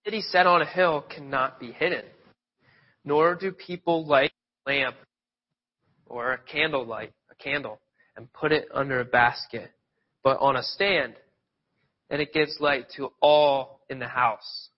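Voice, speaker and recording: A man's voice: medium pitch, steady tone, measured pace, pauses often. A man with a cold, sniffling a little, giving an informal, conversational sermon and wandering into loose stories with restarts. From Pennsylvania, near Philadelphia. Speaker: lay preacher. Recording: dull lo-fi sound.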